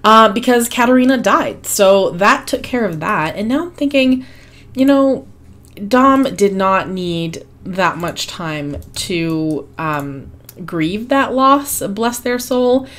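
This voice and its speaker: Hoarse woman chatting through a game. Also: medium pitch, expressive, takes it slowly, a bit bright. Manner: chatty, rambling with frequent ums and small laughs. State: hoarse, losing her voice. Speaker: gaming channel host. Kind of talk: casual gameplay commentary.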